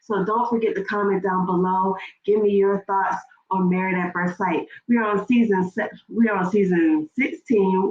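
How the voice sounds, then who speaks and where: Stuffy-nosed chatty woman. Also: mid-range voice. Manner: chatty, rambling with fillers and restarts. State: stuffy nose. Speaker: YouTube reaction host. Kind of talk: casual reality TV recap. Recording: echoey room, dull lo-fi sound, faint noise.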